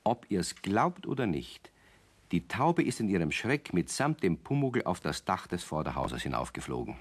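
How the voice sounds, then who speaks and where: A man narrating a children's radio play as an avuncular, gently wry storyteller who addresses his young listeners directly. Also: sits low, very animated, normal speed, husky, heavily acted.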